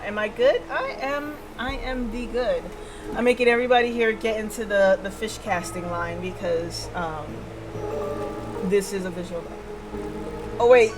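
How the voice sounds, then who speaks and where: A woman's voice: on the high side, poor audio. A woman hosting a casual game livestream, chatting with viewers and thinking aloud in hesitant fragments. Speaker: livestream host. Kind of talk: casual live game-stream chat with viewers. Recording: background music under the voice. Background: music.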